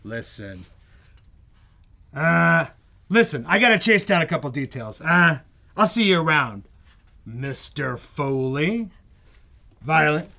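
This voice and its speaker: An excitable radio producer from a 1940s comedy, hammy and emphatic. His voice is high and bright, with drawn-out pacing and some gravel. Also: exaggerated voice. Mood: confiding, jovial. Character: excitable fast-talking 1940s radio producer, theatrical flatterer. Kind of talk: old-time radio comedy acting. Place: live stage performance in a bookstore.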